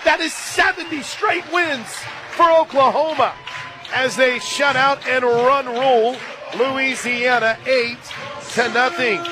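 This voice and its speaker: A man calling a live softball game on radio from a stadium booth, climbing into excited shouts on big plays and laughing easily. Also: very high voice. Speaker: radio play-by-play announcer. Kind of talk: live radio sports play-by-play.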